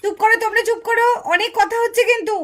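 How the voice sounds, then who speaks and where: A woman's voice: very high voice, fairly even intonation, rapid-fire, a bit bright. A woman voicing a cheerful, bossy but kind auntie doll who instructs children in a puppet story. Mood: scolding, brisk. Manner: exclaiming, instructing, chatty.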